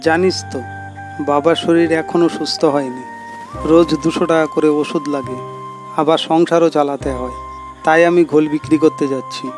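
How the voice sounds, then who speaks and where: Man acting cartoon village boy, fairly high voice, earnest, dutiful, concerned, faint music behind. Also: steady tone, normal speed, lightly acted. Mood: firm, resigned. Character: dutiful, earnest village boy supporting his poor family.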